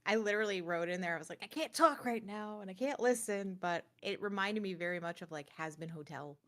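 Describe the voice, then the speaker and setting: A woman with a medium-pitched voice speaks as a podcast co-host. Her delivery is casual, conversational review talk, loosely phrased and unscripted. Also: fairly quick, fairly bright tone. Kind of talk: casual gaming chat and review.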